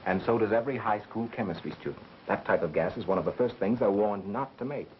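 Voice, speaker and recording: An actor playing a calm, matter-of-fact radio engineer turned amateur detective in a 1930s mystery film. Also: male voice, lowish voice, fast talker, a little hiss or hum, lightly acted. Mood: assured, explaining. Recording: muffled 1930s film soundtrack with music.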